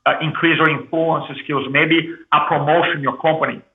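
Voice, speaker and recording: A man from Brazil with a thick Brazilian Portuguese accent, telling his story as an interview guest in an energetic, restarting way. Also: on the high side, fairly quick. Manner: energetic, repeats and restarts words. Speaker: guest, public speaking coach. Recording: echoey room over phone-like line.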